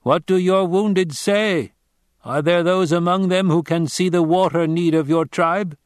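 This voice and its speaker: A man reading an audiobook, voicing a blunt, cryptic male desert tribesman who speaks slowly and asks direct questions. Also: high-pitched, steady tone, no room sound, clean studio sound, voice pushed up. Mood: stern, blunt. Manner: slow, measured, direct questioning. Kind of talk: audiobook character voice.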